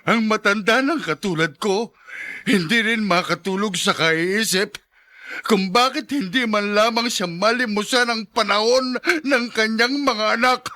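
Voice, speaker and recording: A lonely old father in a Filipino radio drama, plaintive and sighing. His voice is high and strained, hurt by the neglect of his children. The sound is close and dry. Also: unhurried, pushed and bright, no room sound. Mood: anguished, reproachful.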